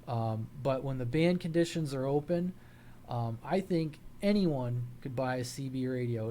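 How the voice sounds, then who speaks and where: Man, medium pitch, casual vlog host, halting folksy phrasing, noisy room ambience. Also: a little mellow. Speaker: hobby radio channel host. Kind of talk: casual vlog talk to viewers.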